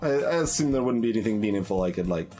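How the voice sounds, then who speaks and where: A man's voice: on the low side, expressive, very fast, dark tone. A man giving casual live commentary as he plays a game, hesitantly thinking aloud. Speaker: streamer playing a game. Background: game music.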